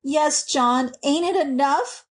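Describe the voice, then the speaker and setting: A woman's voice: high-pitched, takes it slowly, pitched above the performer's own voice. A woman voicing a proud young working-class woman in a storybook, self-blaming and emphatic in rustic colloquial speech. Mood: indignant.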